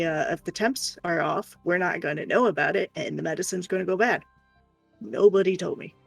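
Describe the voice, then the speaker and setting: Woman, gravelly voice, casual storytelling, exasperated, frank and sweary, faint background music. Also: on the low side, low-quality recording. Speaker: streamer.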